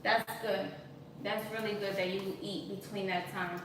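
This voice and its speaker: A woman's voice: medium pitch, little pitch movement, unhurried. A woman in her thirties hosting a cooking live stream from her home kitchen, chatting casually and reading viewer comments aloud. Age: 30s. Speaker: cooking channel host. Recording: echoey kitchen, distant mic, noisy.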